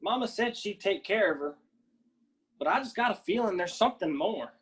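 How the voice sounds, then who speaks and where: A man's voice: high voice, expressive, brisk, fairly bright tone. A man playing a troubled, hesitant young man in a radio drama. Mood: uneasy.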